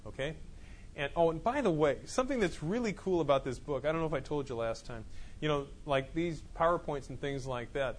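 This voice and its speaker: A man's voice: mid-range voice, a little hiss or hum. A man teaching a college class in a classroom, explaining in a loose, repetitive way with many restarts. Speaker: college instructor. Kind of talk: classroom lecture. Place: classroom with students. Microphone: clip-on mic that keeps falling off.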